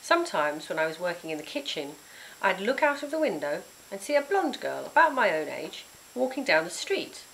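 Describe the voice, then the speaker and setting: A woman reads a children's story with dramatic emphasis. Their voice is fairly low and moves up and down in a lively way, with a faint hiss on the recording. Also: moderate pace, gravelly, low-quality recording. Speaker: author reading their own book. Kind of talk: expressive children's storybook narration.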